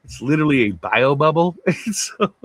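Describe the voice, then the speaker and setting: A male co-host with a gruff voice, talking in a loose, laid-back way from a small home sound booth, swearing casually and laughing mid-sentence. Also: on the high side, animated, normal speed, fairly bright tone, dry recording. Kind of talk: casual live-stream chat.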